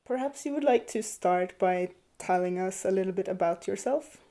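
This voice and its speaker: A woman hosting an interview, introducing the guest in a clear, measured way. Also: mid-range voice, dark tone. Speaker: interviewer, host. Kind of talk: hosted conversation. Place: small farmhouse room, indoors.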